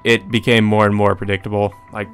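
A man's voice: on the low side, level tone, fairly quick, fairly bright tone. Man casually commenting over game music. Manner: blunt, profane. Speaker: let's player.

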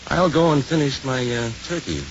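Easygoing priest, animated intonation. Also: male voice, a little high, moderate pace. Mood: friendly. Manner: casual, gently probing. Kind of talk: 1950s radio acting. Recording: muffled, faintly noisy 1950s radio recording.

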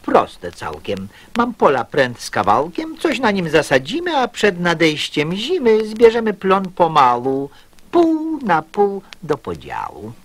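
An actor playing a sly, courteous fox farmer who wheedles and drawls in a children's radio play. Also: male voice, sits high, huge pitch swings, takes it slowly, a bit bright, slightly rough, no echo, heavily acted. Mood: wheedling. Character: sly male fox farmer, smooth-talking and courteous schemer.

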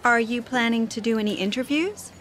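A woman's voice: fairly high pitch, brisk, fairly bright tone, slight background noise, natural voice. A woman reading a scripted coursebook dialogue as an upbeat, chatty photographer.

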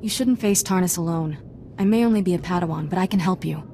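Actress as plucky young Jedi Padawan, low female voice, wry, casual, game cutscene. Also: fast, mellow, a little hiss or hum, close to an everyday voice. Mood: eager, earnest. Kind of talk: video game cutscene acting.

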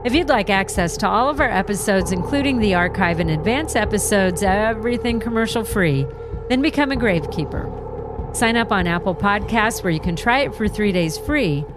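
A woman podcast host wrapping up an interview and reading a smooth closing outro. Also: medium pitch, lively intonation, moderate pace, background noise.

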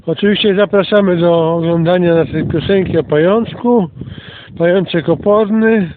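A man's voice: high voice, moderate pace. A man rambling casually as he hosts a walk through the countryside, recorded outdoors on a phone. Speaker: host of a homemade audio walk recording. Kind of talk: casual rambling commentary while walking. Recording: tinny phone-quality recording with outdoor noise.

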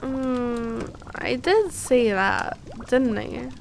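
A woman playing a cute, kind and teasing young alchemist girl in an ASMR roleplay, speaking softly and soothingly close to the mic. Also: a little high, expressive, vocal fry, slight background noise, heavily acted. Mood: playful, cozy. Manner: soft, soothing, unhurried, playfully reassuring.

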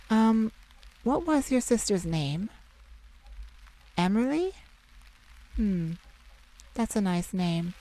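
A woman voicing a feisty, sardonic male vampire with a softer side, in a theatrical ASMR roleplay addressed to the listener. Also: on the low side, low-quality recording. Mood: gentle, sympathetic. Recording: steady rain ambience behind the voice. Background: rain falling.

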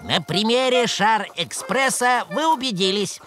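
A clever cartoon mouse with a strict teacher's manner. The speech is precise and instructive, with very varied intonation. Music is clearly present behind the voice. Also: male voice, high-pitched, animated, unhurried. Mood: stern, didactic. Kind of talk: animated educational cartoon dialogue.

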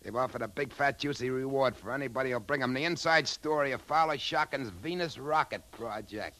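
A man's voice: medium pitch, brisk, pushed and bright, close to an everyday voice. An actor with an American accent playing a brash, greedy cab driver and gunman in a 1950s radio drama. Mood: menacing, gloating. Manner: brash, colloquial. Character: chatty pedicab driver turned greedy gunman. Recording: 1950s radio drama, faint background noise.

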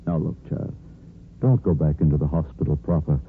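An actor playing a kindly older hospital chief of staff in a 1950s radio soap, reassuring and paternal. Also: male voice, a bass voice, normal speed. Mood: fatherly, gentle. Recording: muffled, noisy 1950s radio recording.

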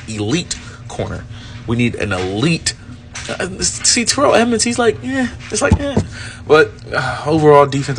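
A young man giving a casual, animated post-game review as a sports fan, rambling with frequent restarts. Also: on the high side, a little hiss or hum.

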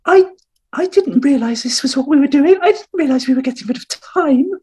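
A woman's voice: sits high, lively intonation, fairly quick, mellow. A woman playing an earnest, cliquish senator in improvised comedy, pleading and repeating herself. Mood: pleading, exasperated. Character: earnest, image-conscious senator, cliquish and reluctant.

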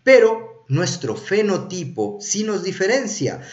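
Male streamer, thirties, fairly high voice, animated casual explainer, slightly dull audio. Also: slow. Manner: conversational, animated, checks in with rhetorical tags. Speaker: streamer reviewing films and TV. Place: small indoor room. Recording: roomy, echoey, slightly dull sound.

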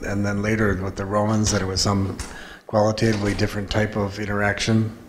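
A man in the audience asks a hesitant question in a flat, lowish voice. He seems to work out his point while he speaks. His voice comes through a noisy audience recording. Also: quick pace. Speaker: audience member asking a question.

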